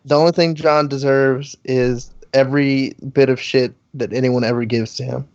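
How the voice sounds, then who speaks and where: A bossy man runs a group voice call, giving orders and swearing crudely. His voice sits at an ordinary middle pitch. The audio is dull and lo-fi, as from an online call. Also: fairly even intonation, moderate pace, darkish tone. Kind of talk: casual chat on a group voice call. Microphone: computer mic over an online call.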